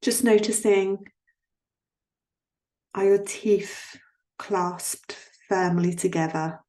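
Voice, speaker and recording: A woman guiding a yoga nidra relaxation in a soothing, gently instructing manner, leaving long pauses between phrases. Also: mid-range voice, steady tone. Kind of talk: guided relaxation meditation.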